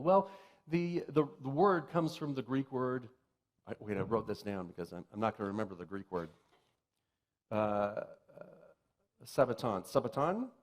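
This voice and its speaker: A man preaching a sermon as pastor, chatty and self-deprecating with many restarts and asides, and slowing into a solemn tone when he reads scripture. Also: mid-range voice.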